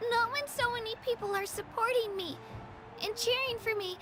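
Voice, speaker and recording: A woman's voice: very high-pitched, normal speed, noisy background. A woman voicing an earnest young girl fighter in a video game, a determined but hesitant underdog. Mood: nervous resolve. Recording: prominent music behind the voice.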